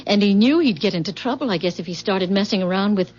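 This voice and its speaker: An American actress playing a soft-hearted, questioning wife in a 1970s radio drama. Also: female voice, medium pitch, fast, natural voice. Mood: worried. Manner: expressive, hesitant, questioning. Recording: muffled vintage radio broadcast.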